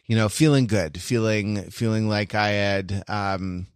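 A man's voice: fairly low pitch, a little slow, clean tone, dry recording, clean studio sound. A man hosting a casual film discussion podcast, speaking in a wry way with mock-formal asides. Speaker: podcast host.

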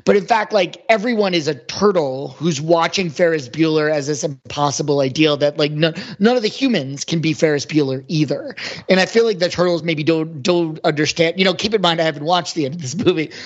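A man's voice: fairly high pitch, brisk. A man on a discussion panel talking casually about film, thinking aloud and rephrasing as he goes. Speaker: podcast panelist. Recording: dull, lo-fi voice track.

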